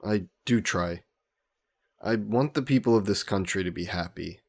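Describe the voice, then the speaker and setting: A man playing an old aristocratic vampire in a romantic audio roleplay, speaking in formal, genteel, measured phrases. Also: deep voice, vocal fry. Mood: warm, flirtatious. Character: ancient aristocratic male vampire, courtly, protective, gently teasing.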